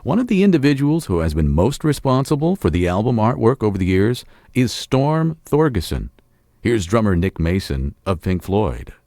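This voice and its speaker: A man hosting a radio music special, reading scripted links with a lively, promotional delivery. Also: on the low side, moderate pace, hardly any pauses, no room sound. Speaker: radio host. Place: radio studio. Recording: dry studio sound.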